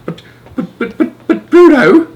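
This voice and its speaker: Man doing a storybook dad, English, indignant hothead, spluttering, raised pitch, swinging intonation. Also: very animated, unhurried, exaggerated voice. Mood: stunned, stammering. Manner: spluttering, stammering, shouting.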